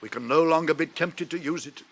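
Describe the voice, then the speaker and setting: A man performs an old, wise wizard for a radio play. His voice sits fairly high for a man, and he declaims with stately, grave authority, ready to turn wry. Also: fairly quick, lightly acted. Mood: urgent. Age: older. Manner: theatrical, stately, declaiming delivery. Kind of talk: 1980s radio drama acting.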